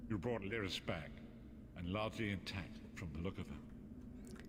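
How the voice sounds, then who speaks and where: An actor playing a solemn, cryptic elderly prophet in a fantasy video game, speaking in a measured, formal way. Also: male voice, low voice. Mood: grave concern. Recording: long cavernous reverb with background noise.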